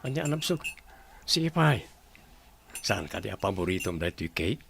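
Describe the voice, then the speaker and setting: Carefree young filipino gambler in a radio play, raspy voice, pitch all over. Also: male voice, very animated, normal speed, fairly bright tone, full character voice. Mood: playful, teasing. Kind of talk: radio drama acting.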